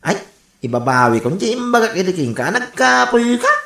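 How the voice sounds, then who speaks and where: A woman's voice: medium pitch, big pitch swings, a little fast, vocal fry, a bit bright. A woman with an Ilocano accent playing a gossipy, teasing, cackling cousin in a comedy radio drama. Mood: gleeful teasing. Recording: roomy, echoing radio drama sound.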